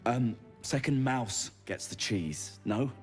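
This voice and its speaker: An actor playing a timid gang underling in a video game, hesitant and questioning. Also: male voice, medium pitch, lively intonation, takes it slowly, faint music behind. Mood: doubtful. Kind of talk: video game character acting.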